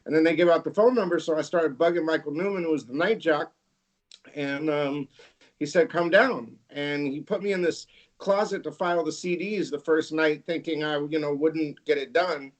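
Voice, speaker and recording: A middle-aged man from California, a longtime radio DJ, tells his story in an easygoing, rambling way. His voice sits a little higher than most men's, and he chuckles as he goes. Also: normal speed. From Santa Barbara, California. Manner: laid-back, laughing, rambling anecdotes. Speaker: radio DJ guest. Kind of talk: casual interview storytelling.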